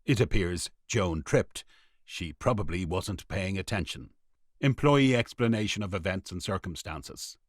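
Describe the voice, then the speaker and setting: A man's voice: low voice, animated, a little slow, dry recording, clean studio sound. A man playing a dismissive, bureaucratic factory manager dictating a formal report in clipped phrases for a radio play. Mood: officious.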